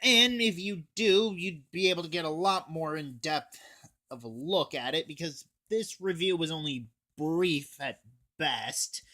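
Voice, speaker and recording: Young guy reviewer, bright casual voice. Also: on the high side, unhurried. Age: teens or young adult. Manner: casual, sweary. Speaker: video reviewer. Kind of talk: casual scripted anime review.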